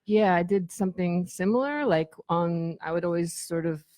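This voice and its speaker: A woman's voice: fairly low pitch, moderate pace, a little mellow, no room sound, clean studio sound. A middle-aged woman chatting casually as a guest, telling anecdotes in an informal, sweary way and acting out bits of dialogue. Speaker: guest, former band tour manager.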